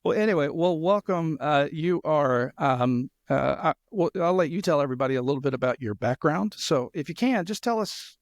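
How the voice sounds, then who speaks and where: A man hosting a podcast, asking questions in a rambling, halting way full of fillers. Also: a little high, expressive, no echo, studio quality. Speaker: podcast host. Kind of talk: casual interview.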